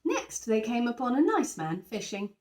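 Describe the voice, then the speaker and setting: Animated woman reading a children's story. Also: fairly high pitch, moderate pace, echoey room. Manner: animated storytelling, emphasising key words for young children. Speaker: teacher reading a children's picture book aloud. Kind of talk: expressive scripted story narration.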